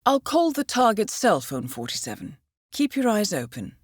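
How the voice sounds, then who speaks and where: An actress with a British accent playing a composed, dryly wry intelligence handler briefing an assassin in a video game, poised and measured. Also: female voice, mid-range voice, sing-song, breathy edge, no echo. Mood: composed, businesslike. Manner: poised, measured, dry understatement.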